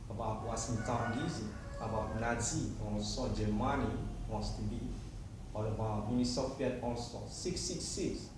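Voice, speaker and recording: Indonesian-accented man for an improvised, disjointed monologue; halting phrasing, recorded far from the mic with clear background noise. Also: mid-range voice. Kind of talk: rambling improvised monologue to camera. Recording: very echoey room, distant mic, clear noise.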